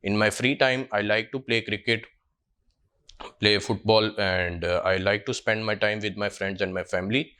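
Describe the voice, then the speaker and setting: A man's voice: lowish voice, fairly even intonation, normal speed, sharp tone, clear, resonant tone. A man in his twenties with a Pakistani English accent, answering a job interview panel hesitantly with many fillers and restarts. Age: 20s. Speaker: job candidate.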